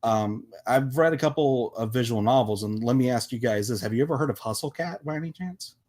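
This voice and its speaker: A man with a mid-pitched voice speaks in a casual podcast discussion. He is emphatic but halting, doubling back and restarting phrases as he argues his point as one of the panel. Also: a little mellow. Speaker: podcast panelist and visual novel developer.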